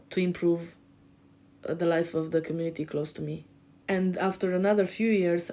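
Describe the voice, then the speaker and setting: Low, mellow-voiced Romanian woman. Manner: hesitant, many filler pauses. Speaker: life coach and podcast co-host. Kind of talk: personal introduction on a podcast.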